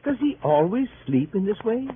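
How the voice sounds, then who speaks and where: An actor in 1930s radio style playing an earnest, gallant gentleman with a formal, courteous manner. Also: high voice, big pitch swings, moderate pace, narrow, tinny audio. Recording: thin, noisy 1938 radio broadcast.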